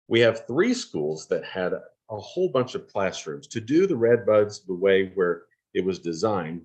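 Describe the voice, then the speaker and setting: A man hosting an online award announcement, speaking off the cuff in a folksy, appreciative way. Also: on the low side. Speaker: award program host. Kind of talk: unscripted announcing at an online event.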